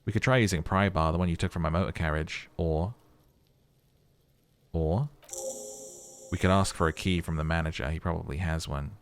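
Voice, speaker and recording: A man voicing a reserved male police lieutenant, speaking in a measured, dry, formal way. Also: deep voice, slight background noise. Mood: calm. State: hoarse.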